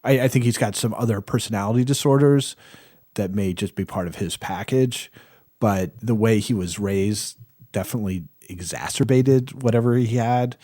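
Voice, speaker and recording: A man's voice: fairly low pitch, normal speed, warm tone, no room sound, studio quality. A man chatting as a podcast panelist, thinking aloud in a tentative, casual way. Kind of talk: casual chat.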